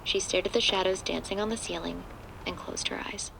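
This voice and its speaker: A woman's voice: medium pitch, fairly even intonation, brisk, gravelly, heavy background noise. A woman narrator reading a scripted horror story for an audio drama.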